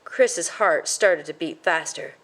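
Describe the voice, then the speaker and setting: A woman's voice: low-pitched, takes it slowly, a bit bright, rough recording. A woman reading a children's story aloud as its narrator, in a scripted storytelling style. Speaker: storybook reader.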